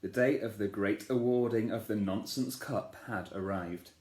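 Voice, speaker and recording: A man, a teacher, reading a children's storybook aloud in a playful, expressive way, asking the listeners questions. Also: lowish voice, normal speed. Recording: echoey room.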